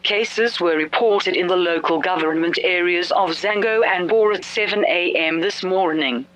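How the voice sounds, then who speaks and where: An emergency announcer with a bright, sharp voice at medium pitch. The message is read from a script in a formal, official manner. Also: normal speed, low-quality recording.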